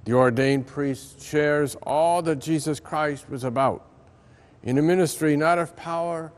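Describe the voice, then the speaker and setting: An older American man reads a religious reflection as a priest would in church. His delivery is slow and solemn, with each phrase measured out at a middling pitch. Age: older, around 70. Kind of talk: scripted religious reflection, reading aloud. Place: large ornate church interior.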